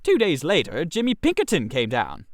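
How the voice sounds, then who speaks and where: A storyteller giving an expressive, wry, theatrical narration of a comic tale. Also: medium pitch, moderate pace, no echo, studio quality.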